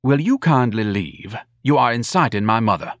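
Guy doing snooty pompous grown son in an audiobook, indignant, super formal wording, pitch all over the place. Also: lively intonation, moderate pace, dry recording, clean studio sound, close to an everyday voice. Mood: haughty indignation.

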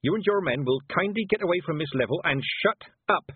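A man voicing a stern, commanding old female witch in an audiobook, speaking in a brisk and imperious way. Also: fairly high pitch, expressive, husky, no room sound, phone-quality sound, voice pushed up.

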